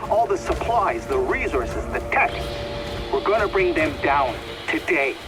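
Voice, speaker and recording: A thoughtful male ally works things out aloud. His voice sounds slightly distant from the mic. Loud game music plays behind him. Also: fairly high pitch, very animated, a little slow. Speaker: game character. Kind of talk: video game cutscene acting.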